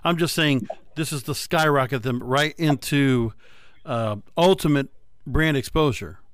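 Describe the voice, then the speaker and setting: A man's voice: medium pitch, a little slow, a bit bright, no room sound, studio quality. A man hosting a podcast interview in a conversational style, putting long, wordy questions to his guest. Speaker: podcast host.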